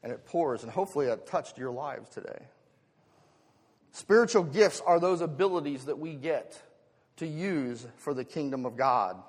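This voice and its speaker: A man's voice: on the high side, expressive, normal speed. An American man preaching a sermon as a pastor, moving between rhetorical questions, conversational asides and emphatic swells. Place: large reverberant church hall. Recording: long hall reverb.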